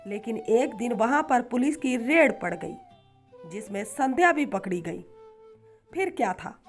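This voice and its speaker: A woman narrating a scripted moral story in a measured, dramatic storytelling manner. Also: high-pitched.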